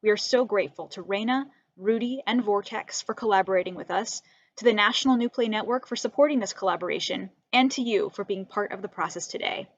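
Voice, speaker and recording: A woman's voice: medium pitch, brisk, no echo, highs cut off. A woman giving a prepared welcome speech as a theater's literary manager.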